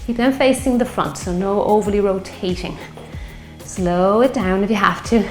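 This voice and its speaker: A woman in her fifties, a fitness instructor leading a guided strength workout, slightly hoarse from a sore throat and cheering on the class as she counts out reps. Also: mid-range voice, moderate pace, roomy sound, faint music behind. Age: 50s. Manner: encouraging, counting reps while exercising.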